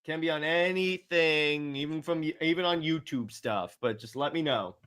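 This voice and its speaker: Bright, clear, high-voiced man. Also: normal speed. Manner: agreeable, repeats himself for emphasis. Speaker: co-host fielding audience questions. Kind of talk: casual fan chat.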